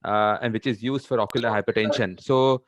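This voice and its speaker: A man's voice: fairly low pitch, fairly even intonation, brisk. A man with an Indian accent puts questions informally on a video webinar as a panelist, speaking in hesitant, stop-start phrases. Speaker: webinar panelist, practising doctor. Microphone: video call.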